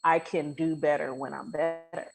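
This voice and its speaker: A woman with a slightly low voice talks as a podcast guest and coach. Her delivery is conversational and colloquial, earnest and emphatic as she makes her points. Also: little pitch movement, normal speed, vocal fry, a little mellow, faint music behind. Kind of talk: casual conversational podcast chat.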